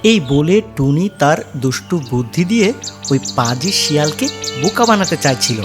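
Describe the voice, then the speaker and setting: A children's story narrator with lively, swooping intonation reads a scripted tale. Loud music plays behind the voice. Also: medium pitch, normal speed.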